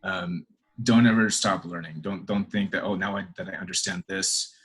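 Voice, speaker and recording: A man's voice: sits low, fairly even intonation, normal speed, a bit bright, husky. A middle-aged man answering interview questions in a hesitant, casual way, full of fillers and restarts. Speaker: interview guest, corporate professional.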